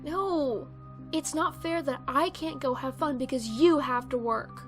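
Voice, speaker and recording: A small, stubborn girl pony from a cartoon, voiced by a woman, with a high, youthful voice. She sounds anxious and protesting, and faint music plays behind her. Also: a little slow. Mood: pleading. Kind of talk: animated cartoon drama acting.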